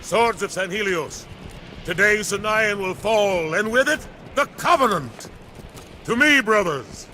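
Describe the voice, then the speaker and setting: An actor playing a proud alien warrior commander in a sci-fi game, grandiose, commanding and formal in manner. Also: male voice, high-pitched, animated, measured pace, exaggerated voice. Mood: rousing, defiant. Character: proud alien warrior commander, male, rallying his troops. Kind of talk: dramatic sci-fi game acting. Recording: loud battle noise over voice.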